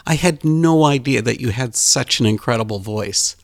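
A man hosting an interview podcast, speaking in a relaxed, conversational way. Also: mid-range voice, normal speed, no room sound, clean studio sound. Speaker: podcast host. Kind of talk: casual interview chat.

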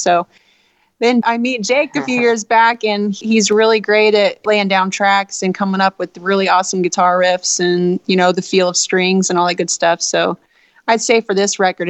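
A woman singer with a low voice, giving earnest interview answers full of "you know". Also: dry recording.

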